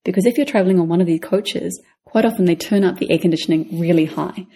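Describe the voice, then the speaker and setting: A woman's voice: lowish voice, fast talker, warm tone, breathy edge. A woman with a New Zealand accent, co-hosting a travel podcast and giving practical advice in a casual way. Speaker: podcast co-host.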